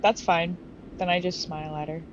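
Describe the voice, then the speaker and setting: A woman with a slightly low voice chats casually as a tabletop player. Her audio is somewhat dull, with faint noise. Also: steady tone, normal speed. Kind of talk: casual game chat.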